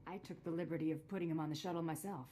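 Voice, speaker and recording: A woman's voice: sits low, very fast, slight background noise, natural voice. An actress playing a cool, curt, unbothered female bounty hunter in a video game. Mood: cool, deadpan. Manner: deadpan, unbothered.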